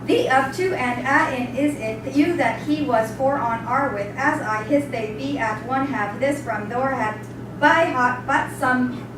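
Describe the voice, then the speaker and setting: An obedient, puzzled woman pupil with a high voice, reciting by rote. The theatrical reading is heard from a distance in a heavily reverberant room. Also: a little slow. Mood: reciting, unsure. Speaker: stage actor in a dramatic reading. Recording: echoey hall from far off, noisy.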